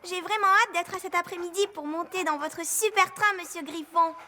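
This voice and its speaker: A woman's voice: very high-pitched, normal speed, sharp tone. A woman voicing an eager young girl in a cartoon dub. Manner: eager, then evasive. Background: music.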